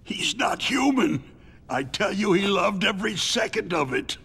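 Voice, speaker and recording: An actor in a video game playing a middle-aged arms company chief, a tortured, panicky captive who talks in halting, agitated stammers. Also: male voice, high-pitched, expressive, creaky, pushed and bright, slightly rough, exaggerated voice. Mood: panicked. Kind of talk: dramatic video game voice acting.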